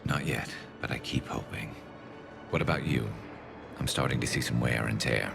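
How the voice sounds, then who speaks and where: A battle-worn alien soldier in a sci-fi game. The voice is extremely low and heavily gravelled, speaking grimly and dryly, steadfast through the weariness, with music clearly playing behind. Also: male voice, moderate pace, exaggerated voice. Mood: concerned, steady. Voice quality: very deep, gravelly. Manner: grim, dry, matter-of-fact. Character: gruff alien turian soldier, male, weary but steadfast. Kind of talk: video game voice acting.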